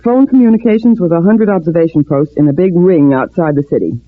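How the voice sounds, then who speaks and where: A woman's voice: on the low side, fast talker, no echo, lightly acted. An actress playing a cool, shrewd woman plotter in 1940s radio drama, clipped and knowing in her delivery. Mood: briskly knowing. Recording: tinny, narrow-band old radio recording.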